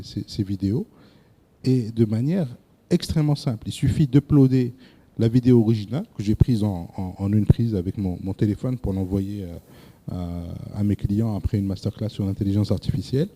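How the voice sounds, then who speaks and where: A male presenter lecturing a live audience, hesitant, often repeating words and filling pauses, asking the room questions. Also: on the low side, dark tone. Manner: addresses the audience directly with questions. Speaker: workshop presenter.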